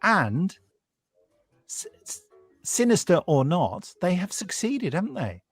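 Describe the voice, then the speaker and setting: A British man co-hosting a podcast, recounting things in casual chat as an animated storyteller. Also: high voice, slow, husky, no echo, faint music behind. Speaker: podcast co-host.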